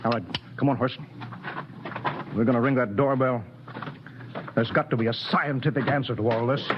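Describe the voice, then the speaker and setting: An actor playing a stern, stubbornly rational spaceship captain in a mid-century radio drama, commanding, clipped and agitated. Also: male voice, medium pitch, steady tone, normal speed, lightly acted. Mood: stern, skeptical. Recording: muffled, noisy old radio recording.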